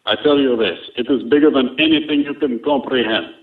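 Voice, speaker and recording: A man's voice: medium pitch, fairly quick. A man voicing a belligerent, boastful Soviet Russian with a thick accent and broken English, taunting his enemy over a phone line. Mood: ominous. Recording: tinny phone-like line, roomy echo.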